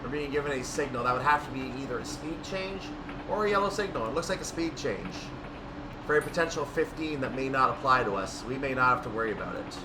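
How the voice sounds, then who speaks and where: Man commentating over loud background noise. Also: medium pitch, expressive, fast, distant mic. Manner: thinks aloud, self-correcting. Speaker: gaming video host. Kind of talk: casual train simulator play-through commentary.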